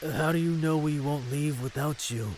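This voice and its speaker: A man's voice: fairly high pitch, moderate pace, a little mellow, natural voice. A man playing an earnest, wary freed prisoner in an audio drama, speaking in formal phrases. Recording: clear rain and ambience noise. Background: rain.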